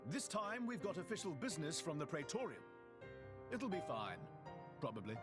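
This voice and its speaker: Brash British prince, wildly swinging pitch. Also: male voice, sing-song, moderate pace. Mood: confident explaining. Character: brash, showy exiled prince, easily flustered. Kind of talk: video game cutscene acting. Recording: music behind the voice.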